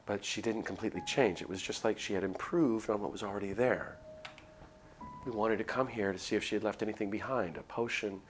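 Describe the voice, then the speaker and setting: Guy streamer reading a visual novel out loud, lowish voice, bit of music behind. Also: fairly quick. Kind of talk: dramatic read-aloud narration with casual asides. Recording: music under the voice.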